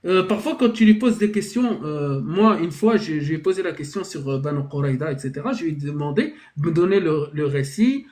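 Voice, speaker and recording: A man with a notably high voice hosts a live debate over a video call. He talks hesitantly, circling back and restarting his sentences. He keeps reassuring the others, calm and conciliatory. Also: fairly quick, hardly any pauses. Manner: hesitant, many restarts and fillers.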